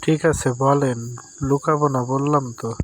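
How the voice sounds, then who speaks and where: A man's voice: mid-range voice, steady tone, moderate pace, natural voice. Man acting a polite young boyfriend, noisy recording. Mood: reassuring. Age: young adult. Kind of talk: amateur staged phone-call skit. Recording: very noisy audio, clicks, insect chirping.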